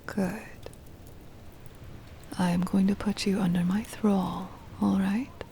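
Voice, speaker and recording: A woman voicing a possessive, doting vampire mistress in a soft, breathy ASMR roleplay, soothing, hypnotic and commanding. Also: medium pitch, little pitch movement, roomy sound, a little hiss or hum. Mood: hypnotic, seductive. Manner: slow, soothing, hypnotic, controlling. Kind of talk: ASMR roleplay, intimate whispering and murmuring.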